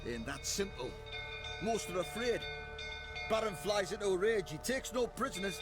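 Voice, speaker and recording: Anxious, high-pitched villager. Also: male voice, big pitch swings, moderate pace, over music. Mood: fearful, resigned. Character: anxious male villager, cowed by his lord. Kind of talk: video game voice acting.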